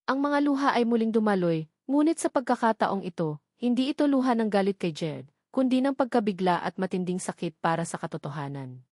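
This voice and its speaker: A woman narrating a scripted romantic drama story in a measured, dramatic storytelling manner. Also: mid-range voice, expressive, darkish tone, dry recording, studio quality.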